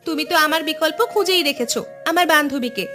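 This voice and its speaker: A woman's voice: high-pitched, fast, pushed and bright, soft background music. A woman playing a touchy, coquettish young village girlfriend in a cartoon, pouting and reproachful. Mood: pouty, jealous. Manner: pouty, reproachful. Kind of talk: Bengali cartoon dialogue.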